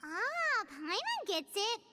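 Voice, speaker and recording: A woman voicing a tiny, excitable, childlike fairy companion in a video game, with a squeaky, eager delivery. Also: slow pace, long reverb tail, very noisy, full character voice. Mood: eager, curious. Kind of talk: video game character dialogue.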